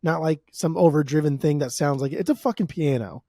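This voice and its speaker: A man's voice: fairly high pitch, a little fast, warm tone, dry recording, studio quality. An American man co-hosting a podcast, talking casually about music in a profane, slangy, opinionated way. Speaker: podcast co-host.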